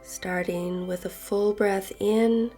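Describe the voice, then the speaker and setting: A woman leading a guided meditation in a soft voice that turns breathy at phrase ends, gentle and unhurried with long pauses. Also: medium pitch, steady tone. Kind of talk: guided meditation, slow and soothing narration. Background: soft background music.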